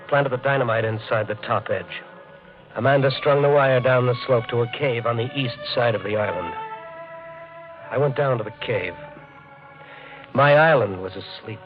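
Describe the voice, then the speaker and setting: An actor playing a desperate, overwrought American resort owner in an old radio drama, shifting between brooding narration and urgent dialogue. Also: male voice, mid-range voice, close to an everyday voice. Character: desperate, overwrought island resort owner telling his story. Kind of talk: 1940s-50s radio drama acting and narration. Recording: dull old radio recording, music behind.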